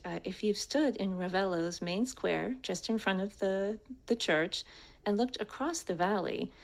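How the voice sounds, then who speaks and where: A woman's voice: fairly low pitch, vocal fry. An American woman telling her personal story as a speaker, in a prepared but conversational way. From United States.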